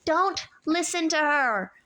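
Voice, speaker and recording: A woman doing an urgent sharp-witted girl. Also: very high-pitched, slow, a little hiss or hum, voice pushed up. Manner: urgent, insistent. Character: sharp, alert young girl warning friends.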